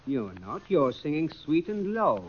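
A high-pitched man with lively, swooping intonation plays the eager comic sidekick. His delivery is theatrical and excitable, in scripted 1940s radio banter. The sound is that of a dull old recording. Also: measured pace, breathy edge. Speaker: comic sidekick on a variety show.

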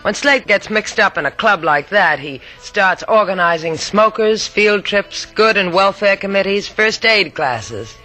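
A sharp-tongued, commanding young woman in a vintage radio serial. Her delivery is forceful and swooping, with wry bite, over faint music on an old recording. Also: medium pitch, animated, unhurried, hardly any pauses, rough recording. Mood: wry, determined. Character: brash, bossy, wisecracking young woman in an adventure serial. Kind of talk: 1940s-50s radio drama acting.

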